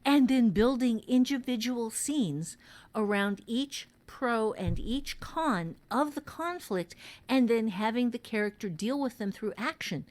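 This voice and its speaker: A woman teaching fiction writing in a casual podcast conversation, speaking deliberately and repeating phrases for emphasis. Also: a little high.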